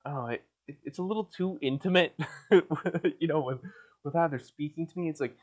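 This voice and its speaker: A young man with a nasal voice hosting a solo podcast from a hot basement, rambling in a crude, deadpan way with lots of restarts, his mouth dry and a little coughy. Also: a little high, brisk, dull audio, background noise. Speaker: podcast host.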